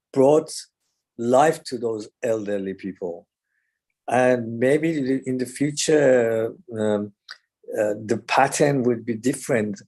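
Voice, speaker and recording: A man from Iran speaking haltingly in a group discussion, searching for his words. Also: mid-range voice, warm tone. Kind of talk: discussion comments in an online meeting.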